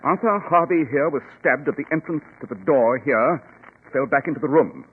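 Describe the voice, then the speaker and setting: An actor playing a shrewd, commanding gentleman detective, precise and formal, in 1940s radio drama style. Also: a little high, moderate pace, breathy edge. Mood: keen, brisk. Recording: muffled, noisy 1940s radio recording.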